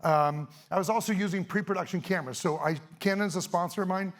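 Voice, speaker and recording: Hoarse, fast-talking middle-aged man presenting. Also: high-pitched. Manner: fast, energetic, casual with many ums. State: a little hoarse. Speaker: event speaker, sports photographer. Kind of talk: live presentation to an audience. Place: event stage.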